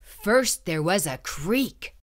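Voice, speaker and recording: A woman's voice: fairly low pitch, expressive, takes it slowly, very noisy. A woman reading a children's story aloud in a suspenseful, theatrical and emphatic way. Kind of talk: dramatic read-aloud narration.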